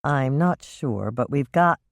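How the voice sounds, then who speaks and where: A woman reading an audiobook and voicing a determined woman sleuth who talks calmly, firmly and wryly. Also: a bass voice, slow, gravelly, no echo, studio quality, full character voice.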